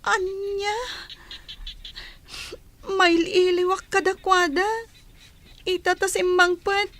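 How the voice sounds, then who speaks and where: A woman's voice: very high voice, level tone, slow, fairly bright tone, full character voice. A woman playing a lonely, dutiful schoolgirl in a radio drama, with an Ilocano Filipino accent. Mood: hurt. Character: lonely, dutiful schoolgirl granddaughter longing for family.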